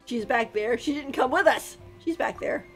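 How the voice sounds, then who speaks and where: A woman's voice: high voice, moderate pace, soft background music. Giggly woman streamer reacting to game. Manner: giggly, mocks the characters' lines. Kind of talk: casual gameplay commentary.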